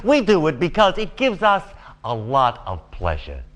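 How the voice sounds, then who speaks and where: An actor playing a smug, cynical, wisecracking host of a brutal futuristic game show in a video game. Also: male voice, fairly high pitch, huge pitch swings, moderate pace, fairly bright tone. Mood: smug, mocking. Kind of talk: over-the-top TV game show presenting.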